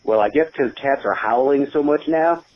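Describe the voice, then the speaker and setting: A man's voice: mid-range voice, normal speed. A man playing a griping, chatty small-town caller, talking casually over a phone line to a radio show. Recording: muffled lo-fi phone call.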